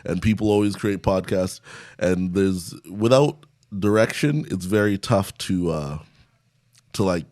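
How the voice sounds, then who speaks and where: Low-voiced young man, casual and chuckling. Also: a little slow, a little mellow, no echo, studio quality. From Canada. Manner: chuckles often while talking. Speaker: stand-up comedian, interview guest. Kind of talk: casual conversational interview.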